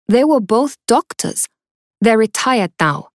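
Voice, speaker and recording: A woman plays a young woman in her twenties for a classroom listening dialogue. She speaks plainly and clearly in a slightly high voice. The recording is dry, close and studio clean. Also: big pitch swings, takes it slowly, no echo, exaggerated voice. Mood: calm. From South Korea. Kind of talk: scripted textbook dialogue.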